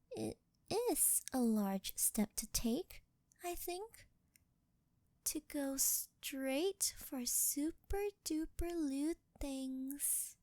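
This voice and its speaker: A young woman playing a sweet, shy, clingy angel turned succubus in an ASMR roleplay, speaking softly and breathily with a coy, halting, intimate delivery close to the mic. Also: sits high, no room sound, exaggerated voice. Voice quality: soft, breathy, girlish. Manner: coy, halting, intimate, often dropping into whispers. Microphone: close ASMR microphone, dry sound.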